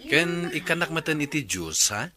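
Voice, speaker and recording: Animated, chatty canteen customer. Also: male voice, fairly high pitch, moderate pace, a crowd in the background. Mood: polite.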